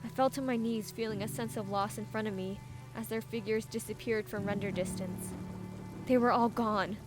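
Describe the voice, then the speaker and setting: A woman's voice: mid-range voice, steady tone, moderate pace, over music, lightly acted. A woman playing an emotional, impulsive young female fighter in a fantasy audiobook. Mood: sad. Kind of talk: dramatised audiobook, narration and acting.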